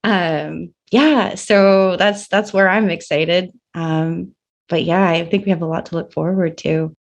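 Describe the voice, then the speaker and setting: Low-voiced reflective woman co-host. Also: fairly even intonation, a little slow, vocal fry. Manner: reflective, uses many fillers like "like" and "um". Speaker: podcast co-host. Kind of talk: casual podcast chat.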